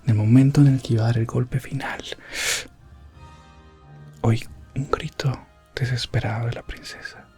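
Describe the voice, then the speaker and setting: A man playing an elf prince adventurer, telling a fantasy ASMR tale in a soft, breathy, often whispered voice with solemn pauses. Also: mid-range voice, fairly even intonation, soft background music, natural voice. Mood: tense, hushed. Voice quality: breathy, hushed. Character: elf prince adventurer recounting his rescue quest, gentle and solemn. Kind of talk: ASMR fantasy roleplay storytelling.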